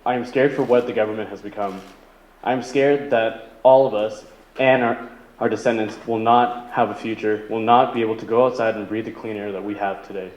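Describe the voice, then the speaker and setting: A man's voice: on the low side, darkish tone, highs cut off. Earnest man speaking in an echoey hall. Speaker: political candidate, Green Party. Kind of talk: debate opening statement. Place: indoor community debate venue. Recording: echoey hall with faint noise.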